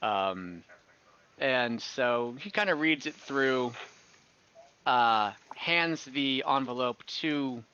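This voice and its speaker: A man narrating an improvised online tabletop fantasy game as game master, in a halting, hesitant way. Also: mid-range voice, expressive, bright, forceful tone, muffled recording, a little hiss or hum. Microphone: online voice call.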